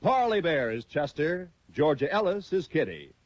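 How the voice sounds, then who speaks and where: A man's voice: fairly high pitch, sing-song, normal speed, no echo. A man reading closing credits in a formal, declaiming 1950s broadcast announcer style. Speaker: radio announcer. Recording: lo-fi 1950s radio recording. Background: orchestral music.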